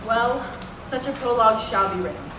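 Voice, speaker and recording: A woman's voice: on the high side, animated, normal speed, natural voice. A woman acting an earnest, easily exasperated director of amateur players, instructing in theatrical Shakespearean style. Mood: earnest, practical. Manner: organizing, instructing. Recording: echoey room, distant mic, noisy.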